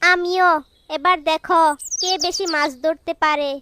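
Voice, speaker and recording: A woman's voice: extremely high pitch, steady tone, a little slow, sharp tone, heavily acted. A woman voicing an eager, teasingly boastful village wife competing with her co-wife in a cartoon fairy tale. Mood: competitive. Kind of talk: animated fairy tale voice acting. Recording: clearly noisy track with birdsong.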